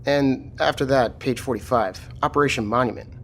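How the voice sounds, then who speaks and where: A man playing a steady, matter-of-fact military lieutenant taking charge after a disaster in an audio drama. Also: mid-range voice, a little fast, a little hiss or hum, close to an everyday voice. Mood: businesslike.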